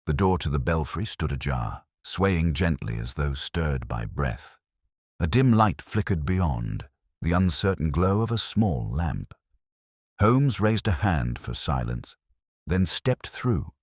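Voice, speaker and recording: A man narrating a dramatic mystery story with a measured, solemn, literary delivery. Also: extremely low pitch, sing-song, darkish tone, no room sound, studio quality. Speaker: audiobook narrator.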